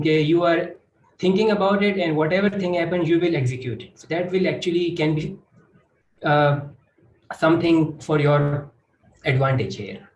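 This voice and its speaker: A man's voice: a little high, a little mellow. A man giving informal advice as a panelist. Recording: echoey room, faint background noise.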